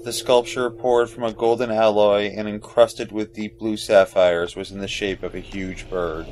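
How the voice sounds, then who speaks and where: A man's voice: on the low side, level tone, normal speed, a little mellow, rough recording. A man reading scripted, dramatic fantasy narration for an audio drama. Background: music.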